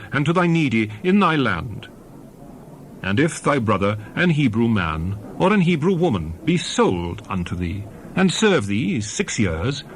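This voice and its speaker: A man delivers a dramatized scripture reading as a solemn narrator. His mid-pitched voice rises and falls widely, with oratorical, emphatic phrasing. A little noise sits behind the recording. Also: fairly bright tone. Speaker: Bible narrator.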